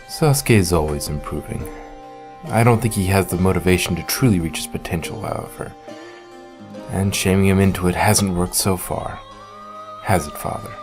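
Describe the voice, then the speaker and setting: A man playing a solemn, reserved young ninja and elder brother in an audio drama, speaking in a measured, formal, brooding way. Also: deep voice, over music, lightly acted. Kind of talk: fan audio drama acting.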